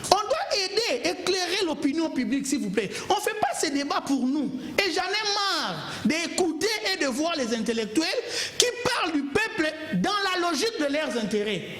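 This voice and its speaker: A man argues in a heated studio debate, emphatic and pleading by turns. His voice sits high and leaps all over its range. A roomy, echoing studio sound surrounds him. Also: sing-song, moderate pace, few pauses, pushed and bright, a little hiss or hum. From Kongo Central, DR Congo. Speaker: TV debate panelist.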